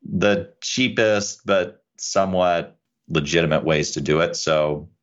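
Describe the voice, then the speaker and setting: A man's voice: low voice, takes it slowly, lo-fi sound. A man speaking as a lawyer and podcaster, candid and self-deprecating in a casual conversation. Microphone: USB microphone into a computer.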